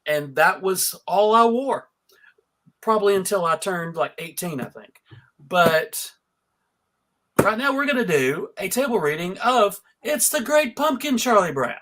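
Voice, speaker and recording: A man's voice: high-pitched, very animated, measured pace, a bit bright, low-quality recording. A man hosting a casual panel, chatting and reminiscing with a wry, self-deprecating humor. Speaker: panel host. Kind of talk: casual chat, introducing and reminiscing.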